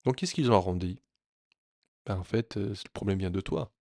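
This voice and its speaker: A man sharing a personal story in a reflective, earnest way, often pausing and restarting his sentences. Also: on the low side, expressive, vocal fry, dark tone, no echo, clean studio sound. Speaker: vlogger.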